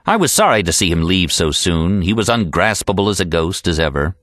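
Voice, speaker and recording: A man reading an audiobook in an expressive, animated storytelling style. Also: deep voice, fairly quick, no room sound, studio quality. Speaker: audiobook narrator. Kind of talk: expressive scripted audiobook narration.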